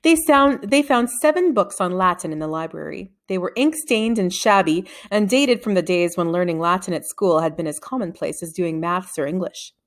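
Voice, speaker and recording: A woman's voice: fairly low pitch, normal speed, few pauses. A woman narrating a children's storybook from a script, reading expressively and acting out the dialogue. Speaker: read-aloud narrator of a children's novel.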